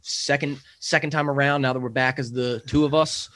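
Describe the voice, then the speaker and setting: A young man co-hosting a casual sports podcast, easygoing and quick to laugh. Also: medium pitch, fairly even intonation, fairly bright tone, no echo. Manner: easygoing, laughs often, quick quiz answers. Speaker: podcast co-host.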